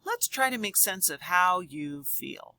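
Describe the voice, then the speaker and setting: A woman voicing a caring little girl who guides a muddled monster friend in a picture book, expressive and sing-song. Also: fairly low pitch, measured pace, no echo, heavily acted. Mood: bright, helpful. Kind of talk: children's picture book read-aloud.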